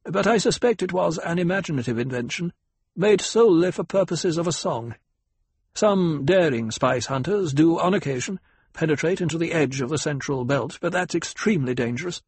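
A grave desert scientist, as a man puts him on, crisp and lecturing. His husky voice sits noticeably higher than the narration, recorded dry. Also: animated, moderate pace, dry recording. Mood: authoritative.

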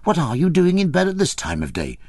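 Male reader doing a loud, excitable peasant woman, shrill cries, lively pitch. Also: brisk, no room sound, exaggerated voice. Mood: alarmed.

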